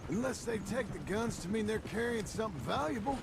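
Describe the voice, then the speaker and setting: An actor playing a wary, terse rancher man in a video game cutscene, speaking in a slow, laconic way. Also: sits high, animated, creaky. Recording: game audio under loud ambient noise.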